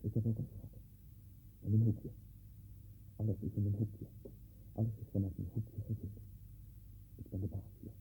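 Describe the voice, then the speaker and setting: An actor playing a tormented, paranoid man in a 1960s Dutch radio drama, husky, speaking in obsessive, repeated short phrases. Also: lowish voice, little pitch movement, moderate pace, pauses often, warm tone, slight background noise, lightly acted, low-quality recording. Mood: bleak muttering. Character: Len, tormented, paranoid man sinking into dwarf-haunted delusions.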